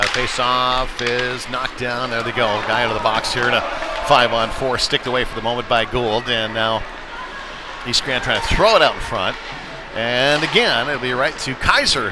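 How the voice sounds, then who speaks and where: Male American sports commentator, mid-pitched voice, live hockey call, conversational, recorded amid heavy arena noise. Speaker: play-by-play commentator. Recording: loud rink noise behind the voice. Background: noisy indoor ice rink with bangs.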